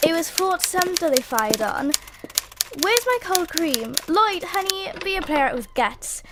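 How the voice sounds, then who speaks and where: Radio drama role: a woman as a sardonic, imperious stage diva, high-pitched and theatrical, over heavy noise. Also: big pitch swings, a little slow, heavily acted. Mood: flippant. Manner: theatrical, flippant. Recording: heavy background noise with clicks.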